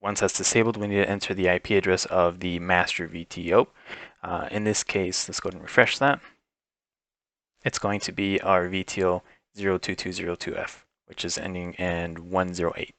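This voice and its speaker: Very low-voiced man giving tech tutorial. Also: fairly even intonation, moderate pace, fairly bright tone, no echo. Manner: informal, explanatory. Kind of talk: step-by-step software walkthrough, unscripted.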